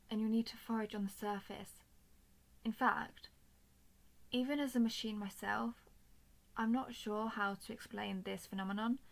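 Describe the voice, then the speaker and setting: Woman acting as a stoic, creaky-voiced robot soldier. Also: medium pitch, lightly acted. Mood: matter-of-fact. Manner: formal, measured, emotionless delivery. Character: stoic, dutiful, protective female robot soldier. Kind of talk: scripted audio roleplay addressed to the listener.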